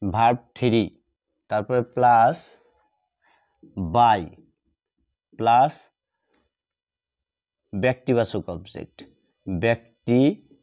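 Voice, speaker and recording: Male teacher, unhurried and mellow, mid-pitched, repeating short fragments as if dictating to a class. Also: lo-fi sound. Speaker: English grammar teacher. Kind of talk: step-by-step lesson, dictating while writing.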